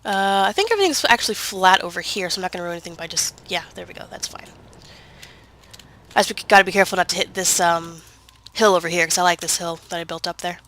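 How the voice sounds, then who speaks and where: A woman's voice: on the low side, animated, fast talker, fairly bright tone. Congested, chatty woman narrating gameplay. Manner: chatty, rambling, full of fillers and self-corrections. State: congested from a cold. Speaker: gaming video creator. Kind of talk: casual gameplay commentary.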